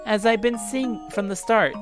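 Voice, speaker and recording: A let's player voicing a calm, condescending schoolgirl killer from a visual novel, composed and lecturing. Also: medium pitch, normal speed, over music, pitched above the performer's own voice, natural voice.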